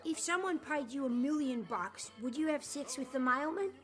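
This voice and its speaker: A woman's voice: high voice, lively intonation, normal speed, faint music behind. A woman voicing a cheeky little schoolboy in a cartoon comedy. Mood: innocent.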